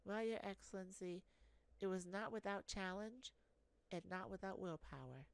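A woman's voice: mid-range voice, moderate pace, gravelly, smooth, no echo, pitched above the performer's own voice, close to an everyday voice. A woman voicing an earnest, formal, slightly hesitant princess in a fantasy audio roleplay. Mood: nervous, earnest. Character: earnest, eager princess on a quest, deferential. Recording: faint background noise.

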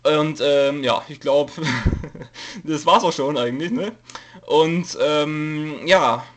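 A man's voice: fairly high pitch, takes it slowly, fairly bright tone. A young man who has a cold, hesitantly rambling through a casual channel update with lots of fillers and jokes. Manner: hesitant, many fillers, reads from a note, jokey. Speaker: YouTube let's player giving a channel update. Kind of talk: casual rambling info video.

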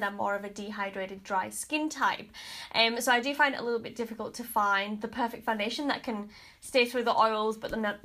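A woman's voice: on the high side, a bit bright. A woman in her thirties with an Australian accent, sounding stuffy and congested, chatting frankly through a makeup review at her home vanity. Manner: chatty, frank, often hedging with kind of and like. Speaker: beauty vlogger. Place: home bedroom vanity with mirror lights, indoors.

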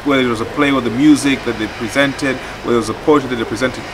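A man, speaking haltingly as he searches for words in an interview. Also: medium pitch, steady tone. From Malawi. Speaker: project facilitator. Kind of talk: documentary interview. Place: outdoor garden courtyard. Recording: outdoor background noise.

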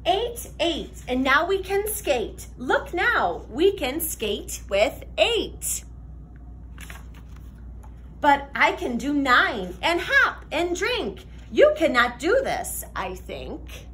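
A young woman reading a children's picture book aloud like a teacher, expressive, sing-song and deliberate. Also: high-pitched, slight background noise. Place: classroom, indoors.

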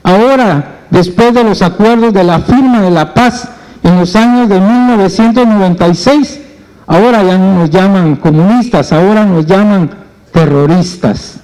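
Earnest middle-aged man, high bright-free tone. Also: normal speed, poor audio. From Guatemala. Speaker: trade union leader. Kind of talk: public forum speech. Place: conference hall at a panel table. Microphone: handheld mic.

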